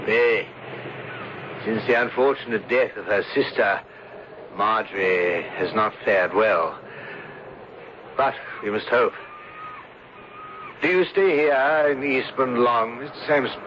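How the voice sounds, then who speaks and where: Slow-talking, unctuous villainous uncle. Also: male voice, medium pitch, fairly bright tone. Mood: oily courtesy. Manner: unctuous, slow, deliberate, punctuated with "ah". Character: devious, cunning uncle, falsely courteous villain. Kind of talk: 1970s radio mystery acting. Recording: dull, noisy old radio broadcast.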